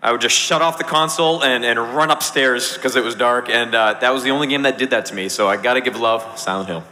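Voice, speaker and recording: Bright mid-pitched man on an echoey stage. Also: fast. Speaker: panelist, video game voice actor. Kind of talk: casual convention panel chat. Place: on stage in a large hall at a convention. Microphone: handheld microphone. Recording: echoey hall PA.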